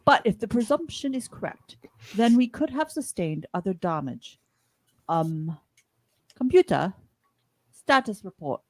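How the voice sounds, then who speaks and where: Lady playing measured space captain, medium pitch, dry mic, radio play. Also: sing-song, dry recording. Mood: deliberating. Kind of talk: amateur podcast radio play reenactment.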